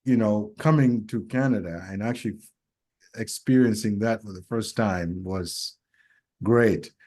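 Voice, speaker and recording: A man with a somewhat low voice co-hosts a relaxed podcast conversation. He grew up in Kenya. He speaks thoughtfully and haltingly, often pausing with fillers as he reflects. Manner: hesitant, reflective, many pauses and fillers. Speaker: podcast co-host.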